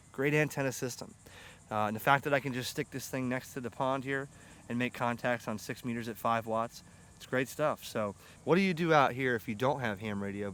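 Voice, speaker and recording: A man's voice: mid-range voice, a bit bright. A middle-aged American man chatting informally and enthusiastically in a rambling, unscripted vlog about his hobby gear. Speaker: ham radio hobbyist and video host. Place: outdoors on grass beside a pond under shade trees. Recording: outdoor camera audio with clear ambient noise.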